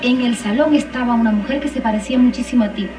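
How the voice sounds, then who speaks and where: A woman's voice: on the high side, fast, lightly acted. An actress playing a gossiping woman in a vintage telenovela. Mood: gossipy. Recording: distant old TV audio, music behind.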